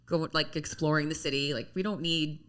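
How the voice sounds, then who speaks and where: Woman, late forties, bright voice, lowish pitch, blunt, candid, laughs easily. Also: steady tone, brisk. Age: 40s. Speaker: podcast co-host. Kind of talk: casual chat.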